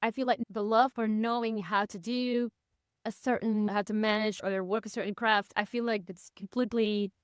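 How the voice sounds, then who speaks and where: A woman's voice: medium pitch, moderate pace, clear, resonant tone, no room sound, clean studio sound. A young adult woman from Belgium who speaks English as a second language, chatting animatedly as a podcast guest. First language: not English. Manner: animated, laughs easily, says "I feel like" often. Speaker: podcast guest, personal brand strategist. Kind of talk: casual interview chat.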